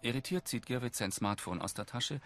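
A man's voice: mid-range voice, animated, brisk, heavy background noise. A man reading scripted narration as an audio describer for a television drama.

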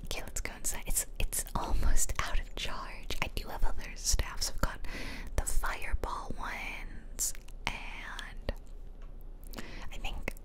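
A woman whispering casual, musing ASMR commentary close to the microphone as she plays a game. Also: slow pace. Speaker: game streamer. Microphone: close microphone, ear-to-ear whispering.

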